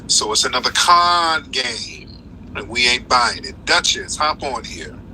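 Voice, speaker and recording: A man's voice: mid-range voice, expressive, a little slow, slight background noise, rough recording. A man hosting a live call-in stream who argues hard with callers, cuts them off and repeats himself. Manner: forceful, interrupting, repeats "no, no". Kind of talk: heated live call-in debate.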